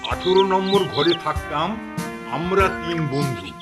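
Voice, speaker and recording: A man playing a calm, reassuring village priest who explains and tells stories in a cartoon. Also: on the high side, normal speed, over loud music, natural voice. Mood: calm storytelling. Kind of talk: cartoon storytelling.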